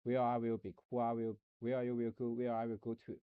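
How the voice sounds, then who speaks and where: A man streaming a rambling philosophical monologue, halting and searching for words, often restarting and repeating himself. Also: medium pitch, monotone, clean tone, no room sound, narrow, tinny audio.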